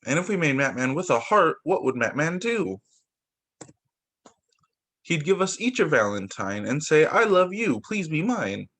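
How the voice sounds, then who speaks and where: Husky young man reading to kids. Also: a little high, a little slow. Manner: sing-song, expressive storybook reading with rhymes. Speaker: preschool teacher reading picture books. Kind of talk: read-aloud story time for young children. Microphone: video call.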